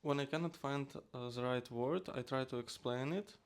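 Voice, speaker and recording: A young man from Ukraine, a native Ukrainian speaker with a Ukrainian accent, giving halting, hesitant answers as an interview guest. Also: medium pitch. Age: young adult. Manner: halting, hesitant with frequent uh pauses. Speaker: student guest.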